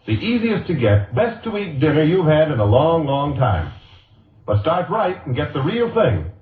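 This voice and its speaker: Old radio commercial man, american, kinda high and very bouncy, salesy, boxy echoey vintage audio. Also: huge pitch swings, normal speed, far from the mic, narrow, tinny audio. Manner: upbeat, emphatic salesmanship. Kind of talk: 1940s radio advertising copy read.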